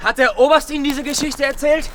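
An actor playing an agitated, cornered soldier in a radio drama, bitter and defiant, blurting coarsely in short bursts. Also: male voice, very high-pitched, fairly even intonation, fairly quick, a bit bright, slight background noise. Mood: defensive. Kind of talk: naturalistic German radio drama acting.

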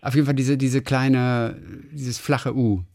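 A man's voice: mid-range voice, fairly even intonation, measured pace, dark tone. A middle-aged man co-hosting a radio show, chatting casually and rambling as he searches for words. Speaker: radio host. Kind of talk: casual on-air chat.

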